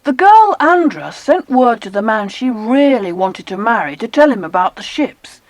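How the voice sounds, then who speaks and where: High, animated, excitable British child. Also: female voice, normal speed, no echo. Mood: eager storytelling. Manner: eager, expressive storytelling. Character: excitable, well-spoken children on an island holiday adventure. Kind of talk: dramatized children's audiobook acting.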